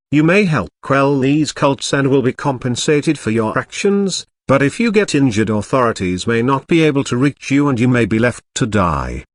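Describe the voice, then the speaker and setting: A man as a stern, animated warning announcer. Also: mid-range voice, no echo, studio quality, lightly acted. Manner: formal, warning. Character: stern British government emergency announcer, adult man. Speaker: emergency alert announcer. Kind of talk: scripted emergency broadcast announcement.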